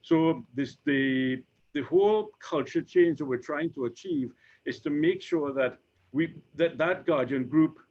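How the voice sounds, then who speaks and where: A Trinidadian man in his fifties, an insurance executive speaking on a panel, who talks haltingly with many repeated words and fillers. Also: fairly high pitch, darkish tone. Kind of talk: conversational panel discussion. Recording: dull lo-fi recording.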